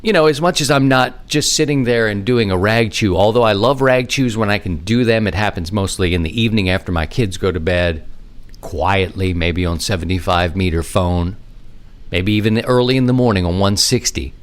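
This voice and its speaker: A middle-aged man with a fairly low voice hosts a podcast on his own. He talks casually and conversationally, wandering from one thought to the next with animated asides. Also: normal speed. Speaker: amateur radio podcast host. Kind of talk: casual solo podcast monologue.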